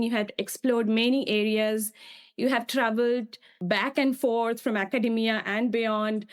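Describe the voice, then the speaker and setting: Host: woman, fairly high voice, earnest and measured, echoey room sound. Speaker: podcast host. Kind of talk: scripted intro then interview questions.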